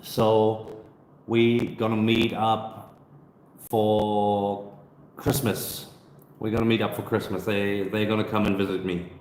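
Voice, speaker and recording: A man's voice: lowish voice, takes it slowly, a little hiss or hum. Easygoing man on a roomy livestream. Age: 30s. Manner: relaxed, hesitant with many fillers and restarts. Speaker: travel vlogger answering viewer questions. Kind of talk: casual live stream Q&A. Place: busy hostel co-working space. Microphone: phone camera.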